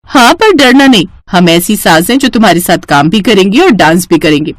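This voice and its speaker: Elder-sister policewoman for story cartoon, played by a woman: fond, teasing, bossy, very animated delivery. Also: medium pitch, brisk, no echo, clean studio sound, natural voice. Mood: affectionate.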